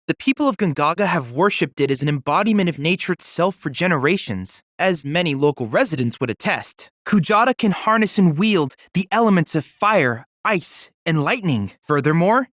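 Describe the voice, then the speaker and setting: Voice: mid-range voice, animated, normal speed, few pauses, a bit bright, no echo, clean studio sound. An actor playing a polite, scholarly teenage boy android research assistant in a video game, speaking in a formal, precise, courteous way. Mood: scholarly, storytelling. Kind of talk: scripted video game character dialogue.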